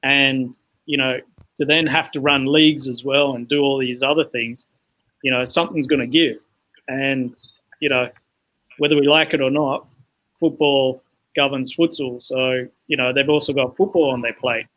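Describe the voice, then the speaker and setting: A man's voice: mid-range voice, steady tone, normal speed, fairly bright tone, low-quality recording. A man born in Zambia and raised in England, a guest explaining his work at length in a casual podcast interview, with frequent "you know" fillers. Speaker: guest, founder of a futsal organisation.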